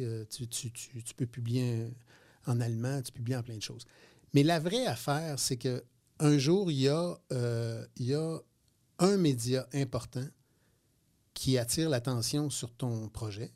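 Chatty Quebec man in his fifties. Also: mid-range voice. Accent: Quebec French. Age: 50s. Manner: animated, repeats words, many hesitations. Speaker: guest entrepreneur. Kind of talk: radio interview, casual storytelling.

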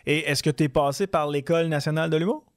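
Québécois interviewer, slightly higher voice. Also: male voice, steady tone, quick pace. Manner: curious, asks long rambling questions. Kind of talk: casual interview chat.

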